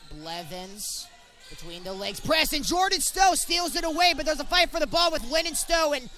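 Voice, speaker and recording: A man's voice: very high voice, animated, moderate pace, bright, forceful tone, soft background music. A young man calling live college basketball play-by-play in short, clipped present-tense phrases. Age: young adult. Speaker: play-by-play sportscaster.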